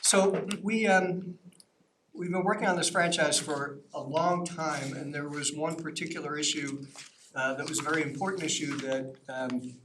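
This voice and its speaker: A man's voice: a little high, fairly even intonation. Man explaining law to a council. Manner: plain, explanatory, unhurried. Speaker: outside attorney briefing council. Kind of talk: conversational legal briefing. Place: city council chamber. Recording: noisy council meeting audio.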